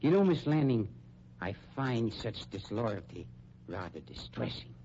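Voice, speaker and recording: A man playing a suave, politely sinister funeral director in a 1950s radio crime drama, speaking in formal, measured phrases. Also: mid-range voice, very animated, mellow. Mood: dry, threatening. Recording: muffled, noisy 1950s radio recording.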